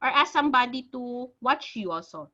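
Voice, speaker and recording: A woman with a Filipino accent explains exam tips to her class in a bright, forceful voice that sits a little high. She reads out sample answers as she goes. The sound is dull and lo-fi, like a video call. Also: lively intonation, moderate pace. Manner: explains in mixed Tagalog and English, reading out sample answers. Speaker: IELTS speaking teacher. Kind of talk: online class lecture.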